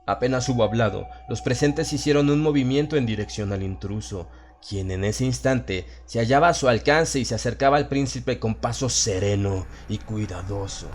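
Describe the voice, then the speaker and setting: A man's voice: medium pitch, normal speed, few pauses, a little hiss or hum. A man with a Latin American Spanish accent reads a horror audiobook in a solemn, theatrical, dramatized style. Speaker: audiobook narrator. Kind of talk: dramatized scripted horror narration. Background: background music.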